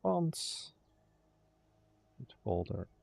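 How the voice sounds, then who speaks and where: A man's voice: medium pitch, very animated, very slow, creaky, a little mellow, no room sound. A young man, a game developer thinking aloud on a casual livestream, muttering to himself, trailing off and swearing now and then. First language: Dutch. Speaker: indie game developer streaming.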